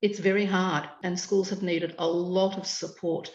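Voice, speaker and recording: A woman in her sixties with an Australian accent, an educator explaining things in a measured, informative way over a video call from home. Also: fairly low pitch, fairly even intonation, a little mellow. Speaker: educator and curriculum consultant. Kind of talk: video call interview answers. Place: home room, indoors. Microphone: webcam on a video call. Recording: echoey room over a dull video call.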